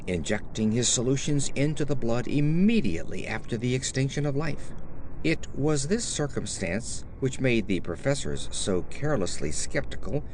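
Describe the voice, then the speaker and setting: A man reads a gothic horror tale as an audiobook narrator. His mid-pitched voice moves in lively, wide swings, while the phrasing stays formal and deliberate. A faint ambient noise sits behind him. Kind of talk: scripted horror story narration.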